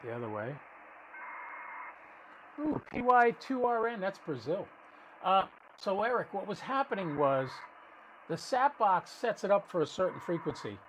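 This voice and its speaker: Higher-voiced man live-streaming over background noise. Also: big pitch swings, moderate pace, low-quality recording. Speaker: ham radio livestream host. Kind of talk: casual live commentary while operating radio.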